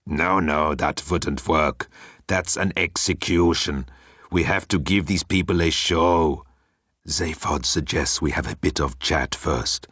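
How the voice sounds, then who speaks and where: A vain thunder god voiced by a male narrator in a comic audiobook. He speaks in a deep, dry voice, chummy and self-important, like a showman minding his image. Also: slow, fairly bright tone, husky, dry recording, heavily acted. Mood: coaxing, chummy.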